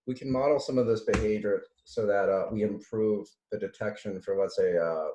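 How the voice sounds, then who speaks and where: A man giving an informal lecture as a teacher, talking haltingly, correcting himself and often checking in with "right?". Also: lowish voice, darkish tone, echoey room. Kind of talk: informal slide lecture with live demos.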